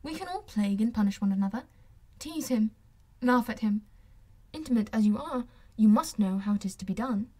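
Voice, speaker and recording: Woman playing a witty, teasing young gentlewoman. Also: fairly high pitch, fairly quick. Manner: teasing, lively, articulate. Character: witty, playful, self-assured young gentlewoman. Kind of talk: audiobook character voice.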